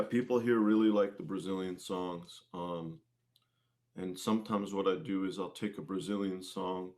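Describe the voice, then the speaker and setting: A man talking casually as an interview guest, restarting and repeating phrases as he explains things. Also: deep voice. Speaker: guest, singer-songwriter and musician.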